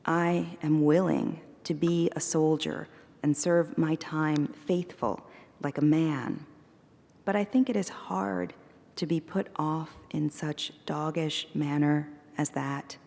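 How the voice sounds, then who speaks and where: Woman, poet, low gravelly voice, slow measured recitation, live reading, long hall reverb. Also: steady tone, a little mellow. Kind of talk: poetry reading. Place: performing arts center hall.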